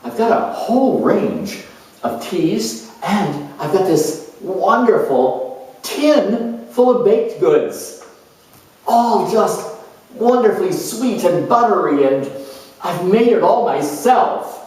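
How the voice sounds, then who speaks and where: A man's voice: high-pitched, sing-song, takes it slowly, darkish tone, voice pushed up, full character voice. A man voicing a doting, anxious homemaker mother in a character dramatization, effusive and confiding. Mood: gushing, hospitable. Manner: effusive, cosy, hesitant and confiding. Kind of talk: solo character dramatization for an astrology talk. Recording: very echoey room, distant microphone.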